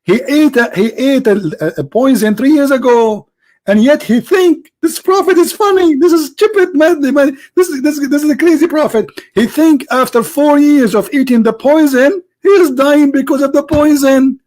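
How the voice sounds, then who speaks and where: High-voiced sarcastic male debate host. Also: moderate pace. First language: not English. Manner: sarcastic, mocking, insistent, rising to shouts. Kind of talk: heated online debate.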